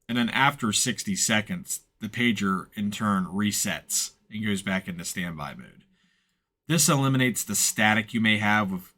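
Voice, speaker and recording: A man with a fairly low voice walks through a screen tutorial step by step. He explains things informally and without a script, keeping a matter-of-fact tone as he instructs. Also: a bit bright. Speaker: instructor, software tutorial presenter.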